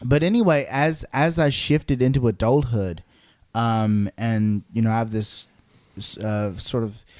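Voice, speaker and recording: A man's voice: mid-range voice, steady tone, measured pace, a little mellow, no echo. Casual man telling stories, dry close sound. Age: adult. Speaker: podcast co-host. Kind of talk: casual conversational storytelling.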